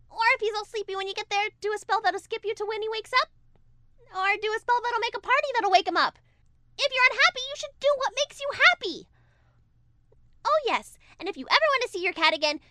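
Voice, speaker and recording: A woman voicing a bubbly, excitable young female cartoon pony with a mischievous streak, reading a letter aloud. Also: very high voice, no room sound, studio quality, heavily acted. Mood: mock menacing. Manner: exuberant, swinging from sweet to menacing.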